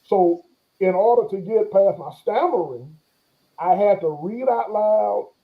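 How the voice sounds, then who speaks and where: A man's voice: high voice, measured pace. A pastor in his forties, a guest in an interview, talking in a reflective, hesitant way with many fillers and restarts, and an occasional stammer. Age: 40s. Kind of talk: conversational interview. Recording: tinny phone-line sound.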